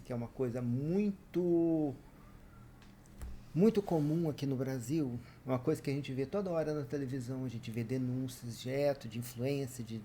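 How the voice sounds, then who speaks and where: A man's voice: medium pitch, mellow, a little hiss or hum. A man, explaining at length and with emphasis in a casual book discussion. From Espírito Santo, Brazil. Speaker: co-reviewer.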